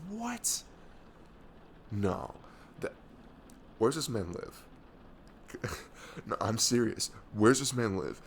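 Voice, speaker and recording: Guy playing caring best friend in asmr roleplay, gravelly low voice, soothing n halting, bit of background noise. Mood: shocked, indignant. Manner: halting, soothing, earnest, breaking into whispers. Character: caring, protective young male best friend comforting someone. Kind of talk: ASMR audio roleplay, intimate casual chat.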